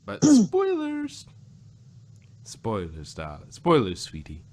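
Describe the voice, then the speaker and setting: A man's voice: on the high side, huge pitch swings, slow pace, a little mellow. A man co-hosting a casual review podcast, chatting unscripted in a rambling, joking way. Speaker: podcast co-host reviewing audio dramas.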